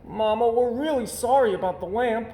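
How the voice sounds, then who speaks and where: A stubborn little boy bear from a storybook, voiced by a man, in a raised pitch. He sounds contrary and protesting, with echo around him. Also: moderate pace, mellow. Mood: earnest. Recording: roomy echo, faint background noise.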